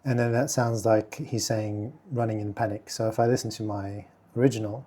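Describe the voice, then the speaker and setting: A man hosting a casual software tutorial, speaking quietly and thinking aloud with many fillers as he works. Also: on the low side, steady tone, normal speed, husky. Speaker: video tutorial host. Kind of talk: casual screen-recording tutorial.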